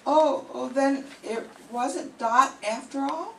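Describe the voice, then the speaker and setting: A woman's voice: high voice, takes it slowly, creaky, exaggerated voice. An older woman reading the part of a polite young visitor who turns out to be a murderer, in an old-time radio mystery, with eager, exclaiming lines. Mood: eager, dramatic. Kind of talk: 1940s radio mystery acting. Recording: echoey room, distant mic, audible noise.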